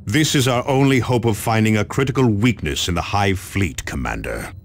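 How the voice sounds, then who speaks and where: An actor playing a stern, commanding space marine officer, briefing solemnly and with measured authority in a video game. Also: male voice, on the low side, lively intonation, heavy background noise. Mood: stern, urgent.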